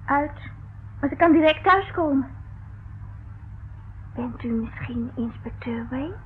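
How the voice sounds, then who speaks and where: A woman's voice: high voice, takes it slowly. An actress playing a naive, flustered young servant girl in a radio play, hesitant and deferential. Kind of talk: radio play acting in Dutch. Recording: muffled, narrow-band, noisy old radio recording.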